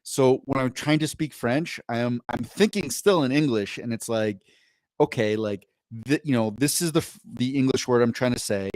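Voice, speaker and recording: A man hosts a podcast, rambling upbeat and catching himself mid-sentence as he talks and reads posts aloud. His pitch is ordinary middle. The sound is dry and close to the mic. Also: normal speed, darkish tone, no room sound. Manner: rambling and self-correcting, upbeat. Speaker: podcast co-host. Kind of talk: casual chat, reading posts aloud.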